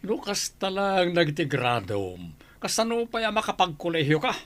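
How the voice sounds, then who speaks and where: A man's voice: high voice, sing-song, normal speed, fairly bright tone. A man playing a stern, disappointed father in a radio drama, scolding and disparaging. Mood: reproachful.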